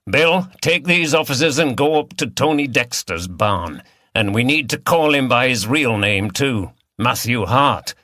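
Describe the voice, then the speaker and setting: A man voicing an authoritative, brisk police detective in charge in a mystery audiobook. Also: mid-range voice, pushed and bright, no echo, clean studio sound, pitched above the performer's own voice. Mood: urgent. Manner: authoritative, explanatory.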